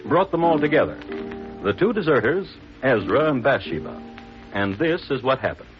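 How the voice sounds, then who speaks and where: Dry, wry man narrating with lively inflection. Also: mid-range voice, normal speed. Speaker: host and narrator. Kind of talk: scripted 1950s radio narration. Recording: dull vintage radio recording. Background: plucked guitar music.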